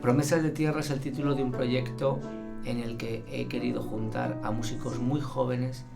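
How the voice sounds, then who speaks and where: Flat, gravelly-voiced man with guitar behind. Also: medium pitch, brisk. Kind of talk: documentary interview.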